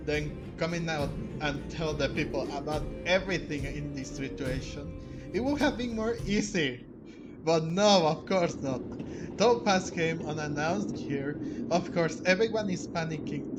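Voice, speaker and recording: Guy reacting to a video game, casual and halting, higher-ish voice, game music loud behind him. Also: rough recording. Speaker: gaming video host. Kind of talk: casual game playthrough commentary.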